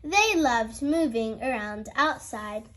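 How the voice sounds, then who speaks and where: A woman narrates a children's picture book aloud. Her voice is high and animated, and she takes her time over each phrase. The style is expressive read-aloud storytelling. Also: takes it slowly. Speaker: storybook reader.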